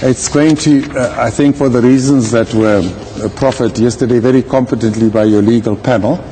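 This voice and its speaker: A man speaking as a legal commentator in an interview, with a measured, deliberate manner and formal wording. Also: mid-range voice, fairly even intonation, warm tone, faint music behind. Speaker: legal commentator, retired judge. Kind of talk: live interview commentary on a court case.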